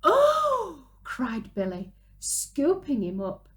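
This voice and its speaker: A woman, reading a picture book aloud to young children in an animated, dramatic way. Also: medium pitch. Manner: animated, dramatic gasps and drawn-out exclamations. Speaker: children's storyteller, early years presenter. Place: small room at home, indoors.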